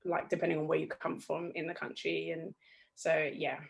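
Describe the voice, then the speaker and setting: Young woman from zimbabwe, lowish voice, hesitant, lots of ums, video call. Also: little pitch movement, moderate pace, vocal fry. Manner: hesitant, many ums, soft laughs. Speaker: guest. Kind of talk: casual interview answers.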